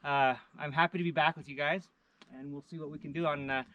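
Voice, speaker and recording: A man casually narrating an outdoor vlog in a halting, easygoing way. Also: on the high side, fairly even intonation, dull audio. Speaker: vlog host. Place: outdoors in mountain country.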